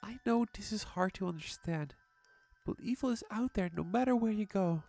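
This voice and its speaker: A woman playing a gentle, reassuring mother soothing her young daughter in an earnest way, in a horror story reading. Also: mid-range voice, moderate pace, gravelly.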